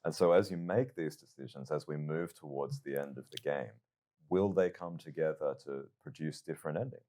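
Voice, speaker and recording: A man hosting an interview at a game reveal, speaking in a measured, theatrical presenter style. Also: a bass voice, dark tone.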